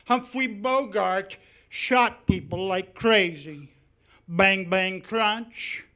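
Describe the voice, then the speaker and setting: A man's voice: high voice, drawn-out words, sharp tone, full character voice. A man playing a meek, doleful, henpecked postman in live old-time radio comedy style. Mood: mournful deadpan.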